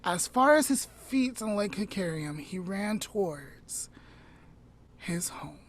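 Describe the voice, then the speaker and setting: A woman with a mid-range voice tells a story at an unhurried pace to her podcast co-host. She lets her lines trail off into a soft near-whisper. Between the story beats she adds chatty asides and corrects herself. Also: medium pitch, lively intonation, a little hiss or hum.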